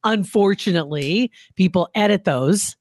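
Chatty podcast co-host, quick to laugh. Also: medium pitch, no room sound, studio quality. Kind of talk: casual podcast chat.

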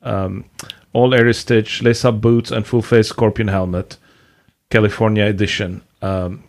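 A man on a podcast reading a listener email slowly and haltingly. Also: mid-range voice. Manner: reads haltingly. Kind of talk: casual chat, reading an email.

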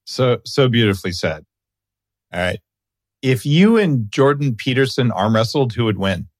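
A man's voice: medium pitch, very animated, moderate pace, dry recording, clean studio sound. A middle-aged man hosting an interview, chatting casually with an enthusiastic, jokey manner. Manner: jokey, enthusiastic, laughs while talking. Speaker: podcast host.

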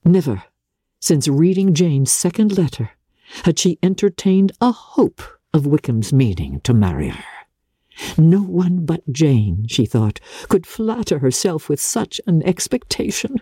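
A woman narrating classic literature in a theatrical, formal and measured storytelling manner, with a husky voice. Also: low voice, very animated, warm tone, no echo, studio quality. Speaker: audiobook narrator. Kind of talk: dramatic scripted reading of classic literature.

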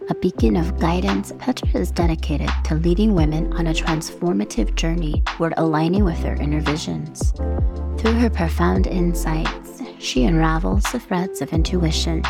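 A woman's voice: on the low side, creaky. A woman hosting a spiritual podcast, reading flowery introductions and chatting with a guest in an effusive manner. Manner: flowery, effusive scripted delivery. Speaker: podcast host. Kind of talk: podcast interview, read intro.

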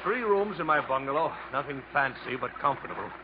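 An actor as an oily, lonely trading-post keeper. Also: male voice, mid-range voice, animated, fairly quick, close to an everyday voice. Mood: brisk, hospitable. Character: lonely, leering jungle trading-post keeper, outwardly hospitable. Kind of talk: 1950s American radio drama acting. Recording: muffled, noisy 1950s radio broadcast.

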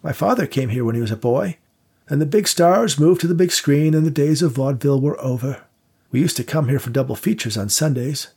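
A man putting on a quavering ninety-something old gentleman. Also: a little high, lively intonation, a little fast, slightly rough, pitched above the performer's own voice. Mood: nostalgic. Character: frail genteel old male theater manager, 97.

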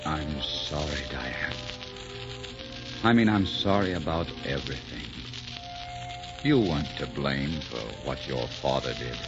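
An actor playing a romantic, commanding sheik hero, earnest and melodramatic in the manner of 1940s radio. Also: male voice, extremely low pitch, measured pace, pauses often, music in the background. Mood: weak, tender. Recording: dull 1940s radio recording.

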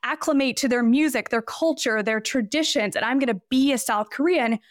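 A woman's voice: fairly high pitch, fairly quick, dry recording, clean studio sound. A woman in her twenties, a podcast guest, talking casually and explaining her ideas at length with examples. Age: 20s. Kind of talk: casual interview talk.

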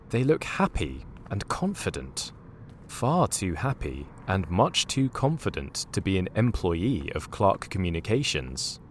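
A man's voice: sits low, a little hiss or hum. A man narrating a scripted comedic audio drama in a theatrical, wry announcer's style.

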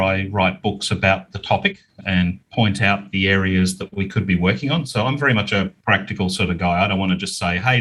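Older aussie guy, deep husky voice, explaining stuff in an interview, down to earth. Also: fairly bright tone, low-quality recording. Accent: Australian. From Australia. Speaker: interview guest, business owner and author.

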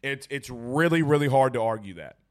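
A young man hosting a post-game sports livestream, hoarse and losing his voice, excitable and rambling as he reads viewer messages. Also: medium pitch, fairly quick. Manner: excitable, rambling, repeats himself. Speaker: sports talk show host. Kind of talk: excited post-game livestream chat reading super chats.

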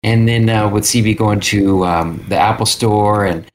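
A man with a slightly low-pitched voice talks as an interview guest. His delivery is casual and anecdotal, like someone explaining things in conversation. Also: measured pace.

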